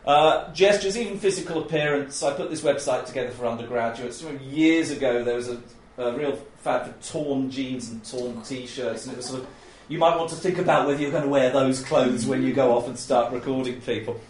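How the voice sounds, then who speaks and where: A loud-voiced man teaching a workshop on interviewing. He chats casually, laughs often, and acts out the questions he quotes. His voice sits at a middle pitch, and the room around him echoes. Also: brisk, slight background noise. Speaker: oral history trainer. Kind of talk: informal workshop talk.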